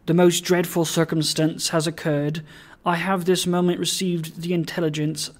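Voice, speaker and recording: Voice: medium pitch, level tone, normal speed, close to an everyday voice. A reader as an agitated confidential male secretary. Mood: grave, secretive. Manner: urgent, confiding, gasping. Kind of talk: dramatised audiobook of a classic story.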